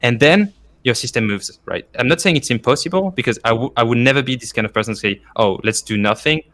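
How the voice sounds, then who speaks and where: A man's voice: mid-range voice, fast, fairly bright tone. A man from France with a French accent, explaining his view emphatically in casual discussion as a podcast guest. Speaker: former esports coach, podcast guest.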